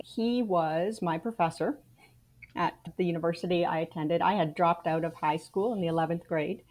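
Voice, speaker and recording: Low-voiced Canadian woman telling her story. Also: a little mellow. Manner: dry wry humor, frank and reflective. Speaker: podcast guest. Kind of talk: casual interview storytelling.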